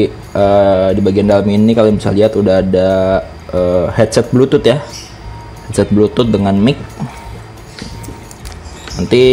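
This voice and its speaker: A man's voice: lowish voice, mellow. A man with a Jakarta Indonesian accent giving a casual product review, explaining things informally step by step as a vlogger.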